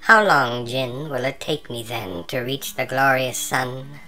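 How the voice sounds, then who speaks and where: A man voicing a calm, learned male moth who speaks with precise, knowing composure. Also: medium pitch, unhurried, soft background music, pitched above the performer's own voice. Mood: earnest, pleading. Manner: calm, knowing, slightly superior.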